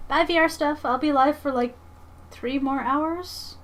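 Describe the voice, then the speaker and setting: A woman livestreamer chatting casually with her viewers in a playful, expressive, giggly manner. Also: high voice, measured pace. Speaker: live streamer and comics artist.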